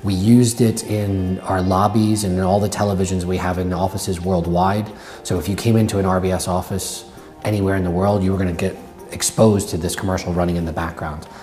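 Deep-voiced man in a corporate interview. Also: level tone, brisk, a little mellow, faint music behind. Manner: earnest, explanatory business talk. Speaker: bank executive interviewed. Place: indoor office with brick wall.

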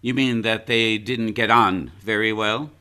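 An actor playing a brisk, patronizing male county attorney in a live radio play, recorded at home over a video call. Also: on the low side, fairly even intonation, pushed and bright, clean tone. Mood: probing. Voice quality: patronizing, chuckling. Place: home closet or desk. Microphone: home mic over video call.

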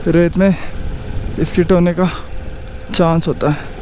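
Excited Indian man riding a motorbike. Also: a little high, takes it slowly, noisy background. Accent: Hindi-speaking Indian. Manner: excited exclamations, chatty. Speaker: motovlogger. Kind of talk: casual running commentary while riding. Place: outdoors on a motorbike, rough mountain road.

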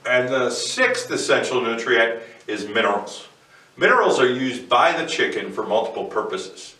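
A man in his forties, seated co-host, giving a scripted educational explainer in a steady, measured way. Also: medium pitch. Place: indoor studio set with barn-wood backdrop. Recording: roomy echo, distant mic, faint noise.

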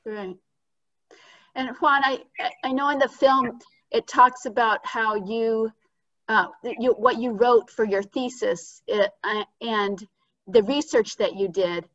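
A woman's voice: fairly high pitch, fairly even intonation, slow pace, a bit bright, no room sound. A young woman moderating a Q&A on a video call, asking questions hesitantly with many fillers. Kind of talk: panel Q&A moderation. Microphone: computer mic on a video call. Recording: dull, dry lo-fi computer mic.

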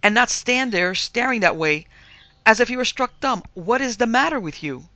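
A man voicing a peremptory, indignant middle-aged mistress of a household in an audiobook, with a sharp, demanding, folksy way of talking. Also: high voice, normal speed, no echo. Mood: sharp, alarmed. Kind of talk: audiobook character voice.

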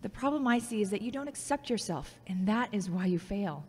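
A woman's voice: medium pitch, animated, brisk, darkish tone, slightly rough. An actress playing a frank, logical, critical yet caring former girlfriend in a scripted audio drama. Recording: long hall-like reverb.

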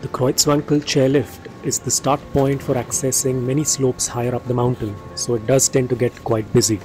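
A man narrates a ski trip guide in a steady, informative voice-over. His pitch sits in the middle range. Light music plays beneath him. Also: moderate pace. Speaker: travel vlogger narrating.

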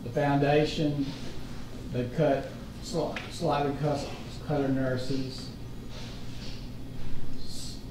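A man explains cuts in a school budget at an unhurried, rambling pace, with plenty of fillers. He was picked up by a distant room mic. It sounds very echoey and hollow, with clear background noise. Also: medium pitch, level tone. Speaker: school finance officer presenting budget. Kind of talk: budget presentation at a board meeting. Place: large meeting room.

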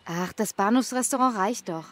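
An actress playing a frank, outspoken young daughter in audio drama dialogue. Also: female voice, medium pitch, normal speed, a bit bright, a little hiss or hum, close to an everyday voice. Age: young adult.

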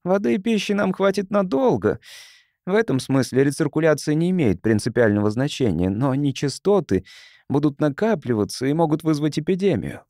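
A man's voice: fairly high pitch, very animated, a little fast, dark tone, dry recording, clean studio sound, voice pushed up. A man voicing an anxious, haughty colony councillor in an audiobook, speaking formally with vehement disdain. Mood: worried.

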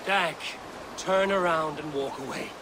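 A man's voice: a little high, unhurried, background noise, lightly acted. A man playing a stern, resolute Viking warrior leader burdened by duty, in dramatic video game acting. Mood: firm.

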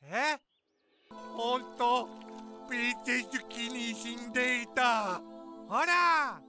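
A man's voice: very high voice, unhurried, over music. A man voicing an earnest male character in a parody anime.

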